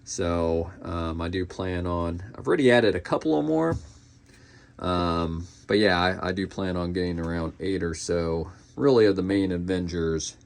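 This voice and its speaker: Male collector-reviewer, lower-pitched, informal and unscripted, pausing to think mid-sentence. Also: animated, gravelly. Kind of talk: casual unscripted product review.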